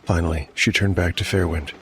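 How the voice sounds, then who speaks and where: A man narrating a solo tabletop fantasy game as game master, in a measured, reflective, scripted manner. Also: sits low, steady tone, a bit bright.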